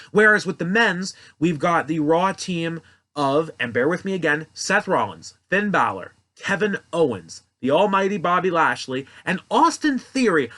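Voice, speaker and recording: A man's voice: a little high, pushed and bright. A young man talking casually and rambling through his predictions, correcting himself as he goes. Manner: rambling, offhand, self-correcting. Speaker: wrestling prediction vlogger. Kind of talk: casual solo commentary.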